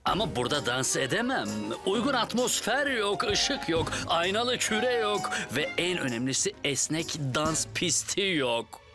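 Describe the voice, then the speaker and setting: Cartoon dance celebrity: vain, dramatic fellow with animated, lightly high delivery. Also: male voice, a little slow, music in the background. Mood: fussy. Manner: theatrical, fussy. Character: vain, fussy male celebrity television ballroom dancer.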